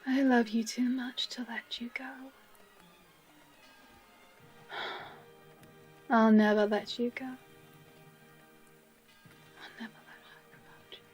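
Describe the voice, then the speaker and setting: Woman acting, possessive librarian captor, audio roleplay, breathy and husky, slightly high voice, frequent whispers, tenderly menacing, theatrical pauses. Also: fairly even intonation, darkish tone, faint music behind. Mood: possessive, loving. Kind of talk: dramatic audio roleplay monologue.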